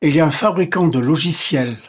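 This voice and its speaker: A man whose first language is English, speaking as a physician guest and explaining step by step in a measured way that lists and repeats points. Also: on the high side. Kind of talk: explanatory interview talk.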